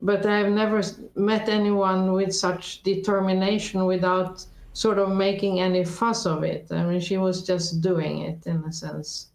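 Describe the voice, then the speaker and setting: A woman's voice: medium pitch, flat delivery, unhurried, creaky, hardly any pauses, darkish tone, reverberant. A woman whose first language is Norwegian, raised in Sweden, speaking thoughtfully as an interview guest with frequent restarts and self-corrections. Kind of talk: reflective conversational interview.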